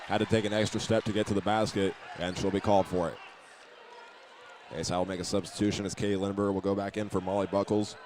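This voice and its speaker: A man's voice: low voice, little pitch movement, fast talker, darkish tone. A man doing live play-by-play commentary of a basketball game, matter-of-fact, sometimes stumbling and repeating words. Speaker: play-by-play announcer. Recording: noisy gym ambience behind the voice.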